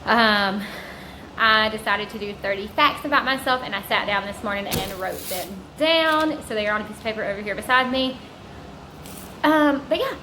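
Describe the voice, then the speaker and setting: A woman in her thirties with a Southern US drawl talks straight to her viewers, loose and rambling. Her voice is bright and sits a little high. The room around her is echoey, with faint noise behind. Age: 30s. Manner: chatty, rambling, warm asides to viewers. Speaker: vlogger. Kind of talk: casual sit-down chat to camera. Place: vacation home indoors.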